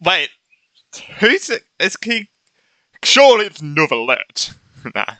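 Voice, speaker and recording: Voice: medium pitch, sing-song, slow, bright, forceful tone. A game streamer giving casual play-along commentary in reactive, muttered asides.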